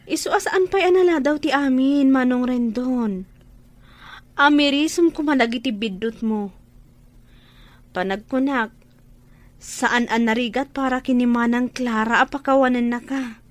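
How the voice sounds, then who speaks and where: A woman's voice: high-pitched, measured pace, pauses often, no echo. A woman acting as an earnest, pleading younger sister in an Ilocano radio drama. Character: younger sister earnestly counseling her hospitalized brother.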